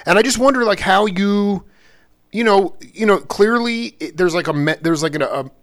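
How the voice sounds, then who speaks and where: Guy interviewing, kinda high voice, slangy and rambly. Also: fairly bright tone. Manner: loose, slangy, halting questions. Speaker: interviewer host. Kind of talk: casual interview questions.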